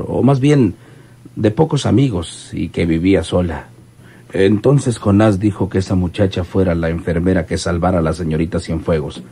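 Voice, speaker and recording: An actor in a radionovela playing a wary informant who talks hesitantly, in folksy rural phrasing. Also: male voice, low-pitched, mellow, husky. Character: wary male informant recounting an overheard murder plot. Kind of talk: old radionovela acting.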